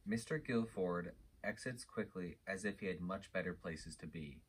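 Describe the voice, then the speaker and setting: Very low male stage-direction reader. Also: moderate pace, breathy edge. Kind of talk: scripted narration of stage directions. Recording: faint background noise, clicks.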